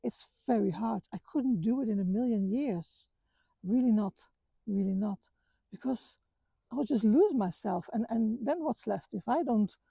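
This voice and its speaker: A middle-aged woman from the Netherlands with a Dutch accent, talking earnestly about her life with many halting restarts. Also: a little high, gravelly, warm tone, dry recording, low-quality recording. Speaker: vlogger. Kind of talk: reflective personal talk. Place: outdoors on a walk.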